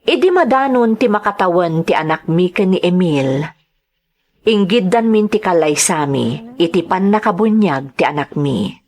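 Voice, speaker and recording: A woman's voice: lowish voice, expressive, moderate pace, darkish tone. An actress playing a devoted, sentimental young wife and mother in an audio drama, speaking earnestly and emotively. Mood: wistful, reflective. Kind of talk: Ilocano audio drama, narration and dialogue.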